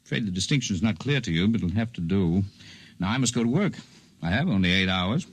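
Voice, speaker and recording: Lively-pitched, deep, erudite alien healer. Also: male voice, fast talker, no room sound. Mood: courteous, scholarly. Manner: formal, precise, scholarly phrasing. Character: calm, erudite alien healer studying humanity, long-lived, gently condescending. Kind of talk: 1950s radio drama acting. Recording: 1950s radio broadcast recording.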